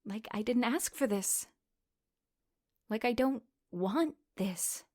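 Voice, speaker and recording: A woman's voice: on the high side, expressive, takes it slowly, dark tone, no room sound, studio quality. A woman playing a wounded, sardonic narrator who recalls her schoolgirl self in a confessional first-person audio drama. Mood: resentful, questioning.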